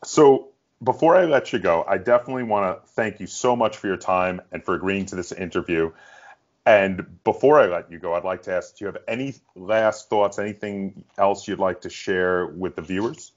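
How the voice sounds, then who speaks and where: Low-voiced male interview host, slightly muffled. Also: moderate pace. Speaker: host of a gaming interview show. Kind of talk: conversational interview hosting.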